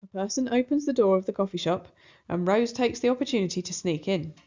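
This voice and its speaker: A woman narrates a children's story in an expressive read-aloud style. Her pitch is average for a woman. The recording is a little muffled. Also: quick pace. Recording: slightly dull, muffled recording.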